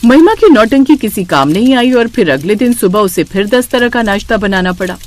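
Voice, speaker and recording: A woman narrating a scripted story in an expressive storytelling manner. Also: mid-range voice, moderate pace.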